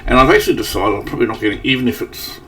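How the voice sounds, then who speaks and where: A man's voice: mid-range voice, racing, fairly bright tone. Guy a bit far from the mic w faint noise behind, rambling thru a game playthrough, slightly scratchy morning voice. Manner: rambling, thinking aloud. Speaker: gaming channel host. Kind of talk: casual gameplay commentary.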